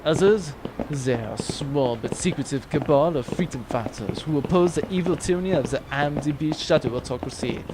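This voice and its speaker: A man's voice: on the high side, fairly quick, background noise, close to an everyday voice. A man playing an emphatic, sarcastic, nerdy conspiracy theorist in a comic space-opera audio drama. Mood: conspiratorial. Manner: emphatic, sarcastic, know-it-all. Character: scornful, nerdy male conspiracy theorist crewmate.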